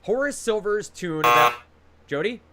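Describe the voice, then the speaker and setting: A man's voice: sits high, sing-song, normal speed, very noisy. A man hosting a trivia quiz over a video call, reading out questions with casual, encouraging banter between them.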